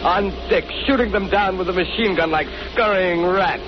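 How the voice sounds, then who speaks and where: A man's voice: sits high, moderate pace, close to an everyday voice. A man playing a cruel, gloating madman who commands a pirate submarine, theatrically relishing menace in 1930s radio drama style. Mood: gloating, excited. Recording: noisy, muffled 1930s radio recording.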